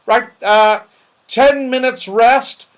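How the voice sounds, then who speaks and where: A man's voice: very high voice, fairly even intonation, slow pace, pitched above the performer's own voice. A man voicing an anxious, earnest male fairy companion in a children's storybook, with emphatic delivery. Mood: earnest, instructing.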